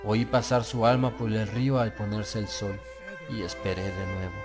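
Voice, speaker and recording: A man reads a gothic horror audiobook in a solemn, literary first-person style. His delivery is measured and deliberate. Prominent music plays underneath the whole reading. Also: fairly low pitch, level tone. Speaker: audiobook narrator.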